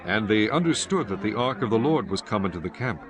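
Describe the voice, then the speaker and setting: A man reading scripted scripture narration in a solemn, measured manner. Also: on the low side, expressive, crowd noise.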